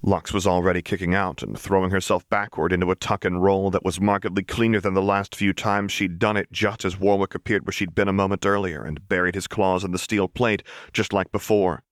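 Male audiobook narrator for action fiction: lowish voice, measured dramatic delivery, very dry close sound. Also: fairly even intonation, no echo.

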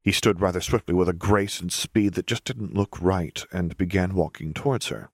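A man's voice: deep voice, steady tone, moderate pace, no echo, studio quality. A man reading a story aloud as scripted audiobook narration.

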